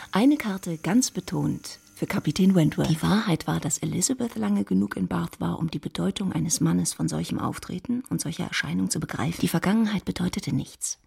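A woman narrating a German literary radio play in a composed, measured storytelling manner. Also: fairly low pitch, creaky, a little mellow, breathy edge.

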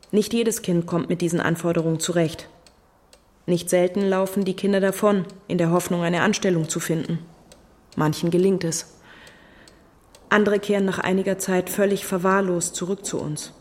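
A woman's voice: lowish voice, fairly even intonation, normal speed, darkish tone, lightly acted. A woman playing a stern but kindly middle-aged orphanage matron in an audio drama, grave and formal. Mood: grave, sorrowful. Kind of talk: German audio drama acting.

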